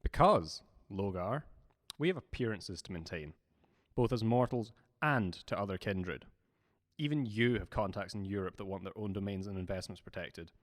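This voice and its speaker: A man's voice: lowish voice, animated, normal speed, lightly acted. A man playing a loyal, diplomatic vampire deputy in an audio drama, formal and composed. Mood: reproving.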